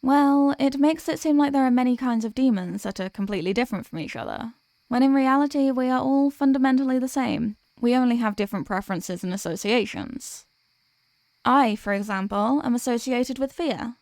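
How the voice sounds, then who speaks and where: High-voiced female demon in intimate ASMR roleplay, acted by a woman. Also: a little fast, darkish tone, dry recording, studio quality, lightly acted. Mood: chatty, explaining. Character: teasing sleep paralysis demon, menacing then apologetic and tender. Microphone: close microphone, dry.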